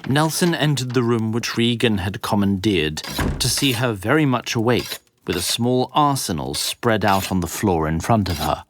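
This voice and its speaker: A man's voice: lowish voice, animated, moderate pace, background noise, natural voice. A man narrating a fantasy audio drama as a grave, literary storyteller, reading scripted prose dramatically. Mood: measured.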